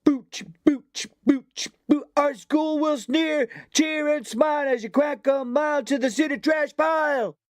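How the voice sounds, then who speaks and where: Storybook bully: very high-pitched, mocking, loud sing-song taunts with a dry sound. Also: male voice, unhurried, hardly any pauses, dry recording. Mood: jeering. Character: mocking schoolyard bully chanting rap insults.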